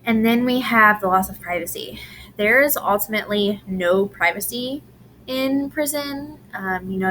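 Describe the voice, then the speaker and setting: A woman delivers a lecture at a very slow, deliberate pace, pausing often with "um" and restarting phrases. Her voice sounds slightly strained from allergies. A faint background noise sits under the recording. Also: mid-range voice, sharp tone, rough recording. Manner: unhurried, many "um"s and restarts. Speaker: presenter on grief and incarceration.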